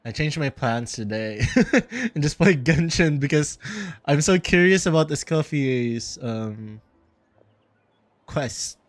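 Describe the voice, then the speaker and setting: A man's voice: on the high side, very animated, slow, no room sound. A man livestreaming a game, reacting casually and chatting in slangy exclamations. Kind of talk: casual live reaction chat.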